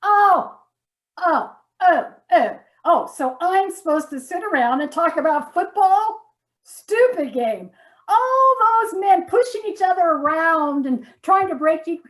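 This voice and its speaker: Comedy sketch, actress as self-righteous, exasperated wife. High voice with big swoops, nagging and theatrical. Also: female voice, lively intonation, slow pace, exaggerated voice, rough recording. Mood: exasperated, scornful. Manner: dramatic, exasperated, repeats herself.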